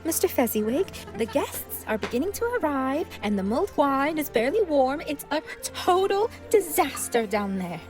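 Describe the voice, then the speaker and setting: A woman playing a melodramatic, lovelorn, fretful woman with theatrical pauses in a comic radio play. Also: high-pitched, sing-song, darkish tone, soft background music. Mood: flustered.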